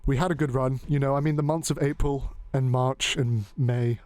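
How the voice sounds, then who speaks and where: A man's voice: mid-range voice, steady tone, moderate pace, darkish tone, dry recording. Rambling, joking male show host. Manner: rambling, joking, loose, swears casually. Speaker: podcast host. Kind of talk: casual chat.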